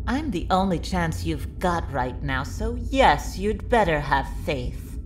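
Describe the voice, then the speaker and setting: A woman's voice: on the low side, sing-song, slow, soft background music. A woman playing a wary, sardonic former villain turned ally, dry and guarded, in a sci-fi audio drama. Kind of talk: fan audio drama acting.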